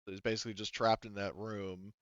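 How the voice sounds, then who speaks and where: Man, game master, low smooth voice, halting improvised narration, dry slightly dull audio. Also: level tone, fairly bright tone, no echo. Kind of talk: narrating a tabletop roleplaying game.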